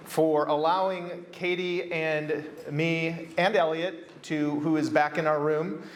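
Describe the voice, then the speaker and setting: A man with an American accent giving an earnest, measured keynote talk at a conference, repeating phrases for emphasis. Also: fairly high pitch, fairly even intonation. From United States. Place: conference hall.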